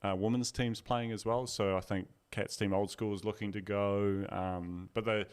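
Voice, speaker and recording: A man, co-hosting a casual sports podcast with relaxed, dry teasing. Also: on the low side, moderate pace, clear, resonant tone. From New Zealand. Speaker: podcast co-host.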